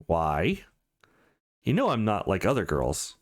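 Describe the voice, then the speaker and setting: A man's voice: low voice, wildly swooping pitch, slow, no echo, clean studio sound, full character voice. A man voicing a blunt, grumbling young schoolgirl in a visual novel, plain-spoken and complaining. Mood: blunt, caring.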